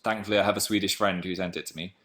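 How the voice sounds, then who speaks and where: Deep-voiced english guy in his 20s doing a webcam livestream, playful, swings his pitch up and down. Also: fairly even intonation, racing, fairly bright tone. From England. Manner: playful, jumps between high and low pitch. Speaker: livestream host. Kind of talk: casual live chat reading comments.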